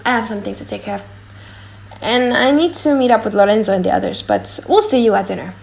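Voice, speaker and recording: A woman playing a courteous young gentleman who gives measured, polite orders in an audiobook drama. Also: mid-range voice, lively intonation, creaky. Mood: cautioning, friendly.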